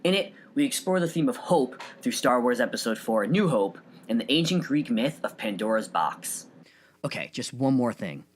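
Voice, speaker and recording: A man's voice: medium pitch, expressive, normal speed, a little hiss or hum. A man hosting a podcast, reading scripted narration in an earnest, carefully enunciated way. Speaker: podcast host.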